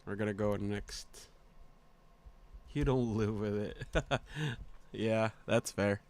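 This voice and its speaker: A man's voice: lowish voice, slow pace. A man giving casual reaction commentary in short, repetitive asides as a video host.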